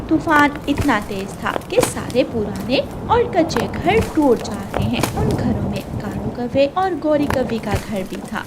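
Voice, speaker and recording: A woman's voice: on the high side, very noisy. A woman narrator reading a scripted moral story for a children's cartoon.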